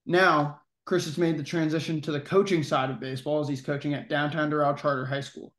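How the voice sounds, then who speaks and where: A man's voice: on the high side, level tone, a little fast, fairly bright tone, echoey room. A man co-hosting a sports podcast, reading a guest introduction and then asking chatty questions. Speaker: podcast co-host.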